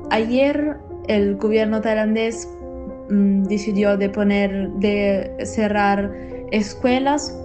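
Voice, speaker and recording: Young Italian woman speaking over music. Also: mid-range voice. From Italy. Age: young adult. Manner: non-native Spanish phrasing. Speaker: interviewee telling personal experience. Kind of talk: personal account, narrated monologue.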